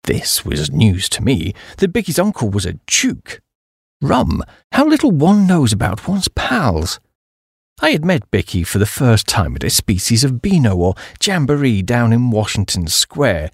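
A man voicing a flighty, excitable young upper-class English gentleman in comic audiobook narration, with breezy, slangy, exclamatory delivery. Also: mid-range voice, normal speed, breathy edge, no echo, studio quality, exaggerated voice. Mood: surprised, chatty. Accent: British upper-class. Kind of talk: first-person comic audiobook narration in character.

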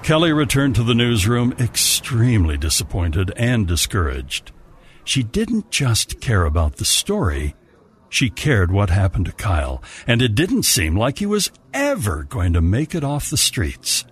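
A man's voice: lowish voice, huge pitch swings, a little slow, breathy edge. A man narrating a radio drama from a script as a storyteller.

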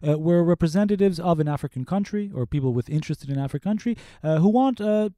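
A man from Israel who talks quickly, his voice mellow and dark, recorded dry and close. He explains things as a reporter in an interview, padding his speech with casual fillers. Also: fairly high pitch, animated, no echo. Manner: rapid, explanatory, with filler "like" and "kind of". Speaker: cyber reporter. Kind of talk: conversational interview.

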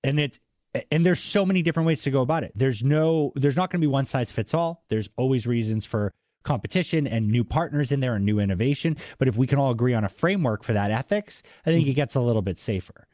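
An enthusiastic man with a mild Boston accent hosts a conversational podcast. His voice sits at a middle pitch and is recorded dry, with hardly any room around it. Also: fast, a little mellow, dry recording. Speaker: podcast host. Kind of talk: casual interview chat.

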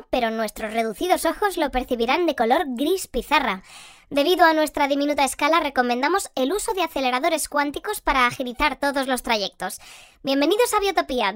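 A woman playing a composed, matter-of-fact radio host shrunk to half size, in a scripted radio drama, with a pitched-up voice. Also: no echo, clean studio sound. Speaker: radio presenter. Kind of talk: scripted fictional radio bulletin and interview.

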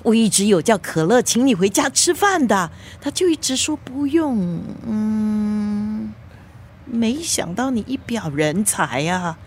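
An actress in a radio drama playing a nosy, excitable middle-aged mother with a Singaporean accent, probing and exclamatory. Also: mid-range voice, slow, slight background noise. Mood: eager, welcoming.

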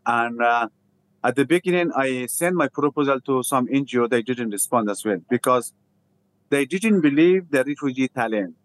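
Afghan-accented man telling his story haltingly. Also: medium pitch, lively intonation, no echo, rough recording. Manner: halting, simple non-native English with repeated phrases. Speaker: interview guest, refugee theatre founder. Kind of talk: personal storytelling in an interview.

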